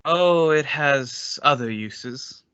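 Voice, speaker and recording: An actor plays an unhinged man of old radio suspense, bright-edged and ominously understated. He speaks with measured, sardonic menace in a dry, slightly dull recording. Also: medium pitch, clean tone, dry recording. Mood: casual, ominous. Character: unhinged escaped madman, quietly menacing old acquaintance.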